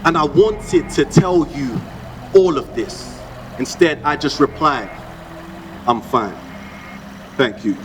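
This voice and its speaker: High-pitched man performing spoken word, echoey. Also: takes it slowly, long pauses, very noisy. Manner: rhythmic, expressive, conversational delivery. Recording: echoey, distant, loud music behind.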